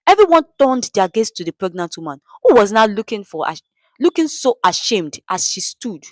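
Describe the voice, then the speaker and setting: A woman's voice: medium pitch, very animated, moderate pace, no room sound. A woman with a Nigerian accent narrating a scripted story in a dramatic storytelling style.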